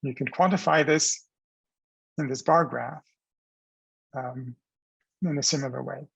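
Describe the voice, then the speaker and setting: A man giving a scientific research presentation as a professor, explaining in a measured, halting way with restarts. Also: mid-range voice, steady tone, creaky, a bit bright, husky, no echo, highs cut off.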